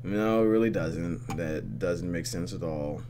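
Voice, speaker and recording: A man hosting a gaming video, reading game text aloud and commenting with expressive, dramatic delivery. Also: low-pitched, unhurried, very noisy. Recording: game music behind. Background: game music.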